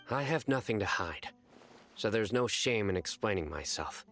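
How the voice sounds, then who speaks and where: An actor playing a stern, principled exiled enforcer in an anime game, speaking formally and gravely. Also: male voice, lowish voice.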